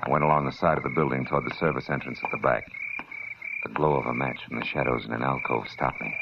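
A hard-boiled private eye narrates and fires off dry, tough wisecracks in a very deep voice. The sound is dull and lo-fi, with clear hiss behind it. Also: male voice, fairly even intonation, fast talker. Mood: narrating. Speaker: narrator and lead detective. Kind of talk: 1940s radio acting. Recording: old radio recording, hiss.

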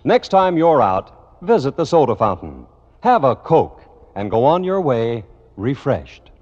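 A man's voice: mid-range voice, sing-song, measured pace, a little mellow. A man announcing in a scripted 1940s radio style, with a polished, genial sales delivery. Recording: dull old radio recording, long reverb.

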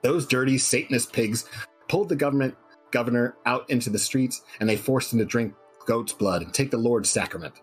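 A man's voice: mid-range voice, fairly quick, breathy edge, soft background music, lightly acted. A man voicing a hysterical radio announcer preaching doom in a theatrical, ranting manner. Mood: outraged, frantic. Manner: theatrical, ranting, swooping into shouts of outrage. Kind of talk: dramatic radio broadcast reading.

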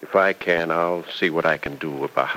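A man's voice: extremely low pitch, moderate pace, pushed and bright. An actor playing a dying old farmer, a tender and resigned father, in an earnest old-time radio soap opera. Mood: tender, proud. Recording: noisy vintage radio drama recording.

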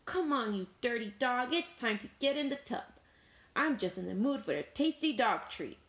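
A woman's voice: fairly high pitch, animated, normal speed, fairly bright tone, pitched above the performer's own voice. Sly, hungry big bad wolf, voiced by a woman. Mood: gloating.

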